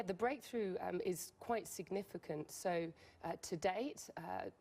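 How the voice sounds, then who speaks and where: A woman speaking as a guest scientist in a broadcast interview, explaining technical work in a measured, slightly hesitant way. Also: lowish voice, warm tone. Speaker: company scientist as guest expert.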